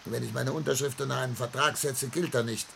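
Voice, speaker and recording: An actor playing a distraught, outcast older gentleman in a German radio play, theatrical and bitter, swinging between hushed tones and outbursts. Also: medium pitch, fairly even intonation, quick pace, vocal fry. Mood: agitated, bitter. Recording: faint noise on old radio recording.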